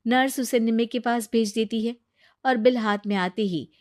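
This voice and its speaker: A woman's voice: fairly high pitch, moderate pace, dry recording, clean studio sound. A woman narrating a scripted moral story for a cartoon.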